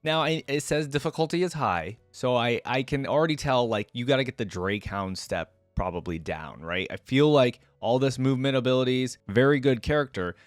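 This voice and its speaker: A man's voice: mid-range voice, moderate pace, hardly any pauses, no echo, faint music behind. A man hosting a reaction video, giving informal, chatty commentary and thinking aloud as he goes. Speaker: YouTube reaction host.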